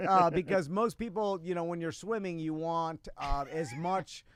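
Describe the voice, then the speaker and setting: A man hosting a talk show, telling stories in a casual, halting and rambling way. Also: fairly high pitch, slight background noise. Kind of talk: casual chat, storytelling.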